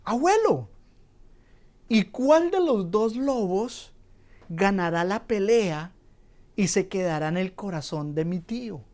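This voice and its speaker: A man voicing an amazed little boy who asks his grandfather questions in high, excited exclamations. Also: slow, pauses often. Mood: astonished.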